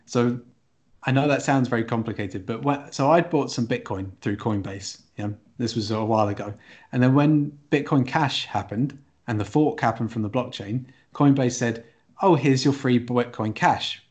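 A man co-hosting a podcast, explaining things in a careful, conversational and measured way. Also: medium pitch, darkish tone. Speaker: podcast co-host.

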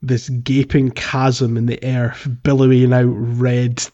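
A man's voice: mid-range voice, little pitch movement, takes it slowly, warm tone, highs cut off. A man hosting a casual gaming discussion in a jokey way, sometimes acting out lines in character. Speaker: podcast host. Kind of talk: casual video game discussion.

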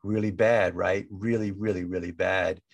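A man of about sixty talks with a slight stutter and often repeats his words. His voice sits low and sounds dry and close to the mic. He speaks like a coach chatting with a guest. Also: steady tone, normal speed, vocal fry, dry recording. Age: 60s. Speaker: podcast host and coach. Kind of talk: casual interview chat.

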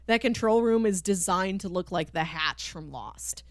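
A woman co-hosting a podcast in casual chat, telling stories in an animated way and acting out quoted dialogue. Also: lowish voice, normal speed, dry recording, studio quality. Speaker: podcast co-host. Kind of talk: casual chat about a TV cartoon.

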